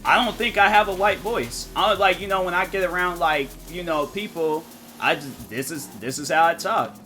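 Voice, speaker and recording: List: man, game streamer, casual rambling chat, bright tense tone, faint music behind. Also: high-pitched, normal speed. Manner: rambling, filler-heavy, thinking out loud.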